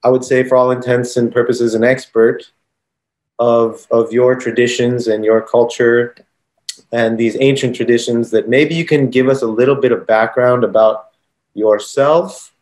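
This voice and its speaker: A man's voice: mid-range voice, fairly even intonation, unhurried, darkish tone. An American man hosting a podcast interview, asking a long, rambling question with many ums. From United States. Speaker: podcast host.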